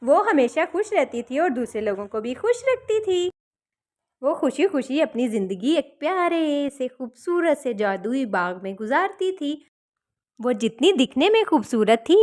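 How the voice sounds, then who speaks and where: Female children's storyteller, high voice, simple explanatory fairy tale narration.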